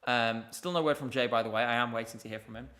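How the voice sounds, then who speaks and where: Male stream host, bright forceful voice, loose chatty delivery answering viewer questions. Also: mid-range voice, quick pace. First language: English. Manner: rambling, chatty, many ums. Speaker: livestream host. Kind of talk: casual live chat reading viewer comments. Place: indoors, streaming from Basel.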